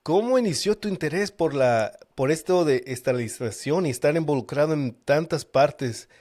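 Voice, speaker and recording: A man's voice: on the high side, expressive, normal speed, darkish tone. A man hosting a casual interview, asking questions a little hesitantly as he searches for words. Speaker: podcast host, interviewer.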